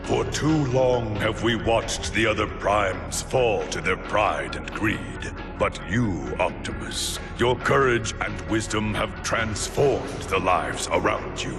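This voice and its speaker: An actor playing an ancient, solemn robot elder in a video game, grave and ceremonial. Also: male voice, on the low side, slow, few pauses. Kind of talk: dramatic video game voice acting. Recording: voice under loud music.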